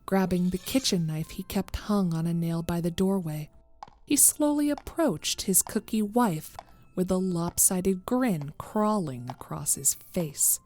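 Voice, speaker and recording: A woman's voice: fairly low pitch, animated, a little slow, a little mellow, soft background music. A woman narrating a scripted horror story as its storyteller.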